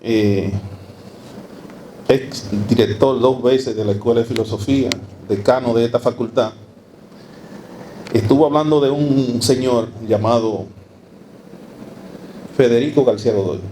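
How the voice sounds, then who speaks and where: A man gives an academic talk in a lecture hall. He speaks in a steady, measured way at a middle pitch, pausing on fillers and asking rhetorical questions. A faint hiss of room ambience sits under his voice. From Dominican Republic. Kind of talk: university lecture.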